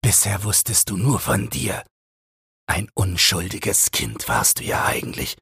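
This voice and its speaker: A man's voice: deep voice, big pitch swings, unhurried, creaky, pushed and bright, slightly rough, dry recording, clean studio sound, pitched above the performer's own voice, heavily acted. A man voicing a vengeful, mocking old father in an audiobook, taunting and declamatory as he condemns. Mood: stern, condemning. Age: older. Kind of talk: dramatic audiobook character reading.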